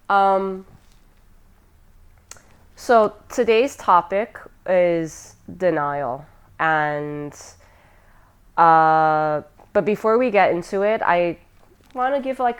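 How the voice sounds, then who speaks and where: A woman's voice: fairly low pitch, slow pace, pauses often, smooth. A woman hosting a casual podcast interview, talking in a chatty, friendly way with many fillers. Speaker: podcast host.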